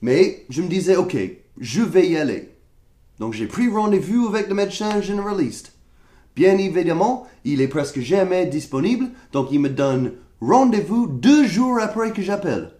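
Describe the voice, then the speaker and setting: A young American man with a fairly high voice, speaking French with a clear American accent. He rants to the camera in an exasperated, sarcastic way about being sick and coughing, and the room around him rings with echo. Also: sing-song, normal speed, warm tone. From United States. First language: English. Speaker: vlogger. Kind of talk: comedic rant to camera. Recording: echoey room.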